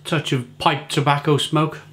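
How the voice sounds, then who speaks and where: A man's voice: on the high side, little pitch movement, a little slow, fairly bright tone. A man in his forties from England, reviewing a beer to camera in his home kitchen, chatty and often repeating words as he searches for them.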